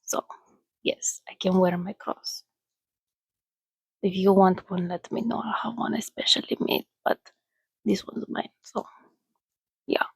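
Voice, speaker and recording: A woman voicing a soothing, centuries-old, well-mannered vampire in a soft-spoken ASMR roleplay, chatting gently and often dropping to a whisper. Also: medium pitch, steady tone, gravelly, husky, no echo. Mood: gently reassuring. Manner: unhurried, gentle, chatty, reassuring.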